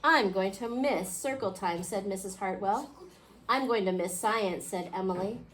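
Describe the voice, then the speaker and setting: A woman reading a picture book aloud to a class, expressively, pausing to question her young listeners. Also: mid-range voice, fairly bright tone. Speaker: children's storybook reader. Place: indoor school classroom. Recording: clearly noisy classroom recording.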